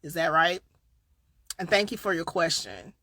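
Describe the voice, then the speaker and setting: A woman with a Southern accent, chatting casually on a live stream as a grief coach, a little tired, swinging from loud bursts to quiet asides. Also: lowish voice, sing-song, unhurried, dry recording, clean studio sound. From Southern United States. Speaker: grief coach and podcast host. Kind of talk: casual live-stream chat answering viewers.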